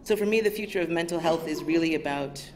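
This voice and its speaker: An American woman with a smooth, low voice, giving an academic lecture in a measured, thoughtful way. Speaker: guest lecturer. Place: lecture theatre stage. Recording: lecture hall audio with background noise.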